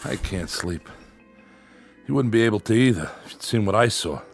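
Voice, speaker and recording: An actor in a radio drama playing a troubled, haunted husband who speaks with halting intensity. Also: male voice, lowish voice, lively intonation, breathy edge, soft background music. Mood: shaken, brooding. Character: troubled, haunted husband gripped by frightening visions.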